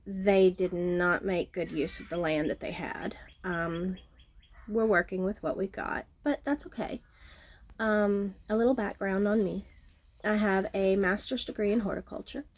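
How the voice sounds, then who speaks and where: Slow-talking nasal woman vlogger. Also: mid-range voice. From Texas Gulf Coast. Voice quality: nasal, sniffly. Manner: frequent ums, self-deprecating, chatty. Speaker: vlogger, horticulturist. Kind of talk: casual talking-to-camera vlog. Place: outdoors at home, front porch.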